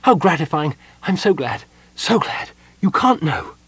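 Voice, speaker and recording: A man voicing an excitable, emotional male gnome mapmaker in a fantasy audiobook, exclaiming and repeating himself. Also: a little high, fairly quick, slightly rough, no echo, voice pushed up. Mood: overjoyed, sobbing.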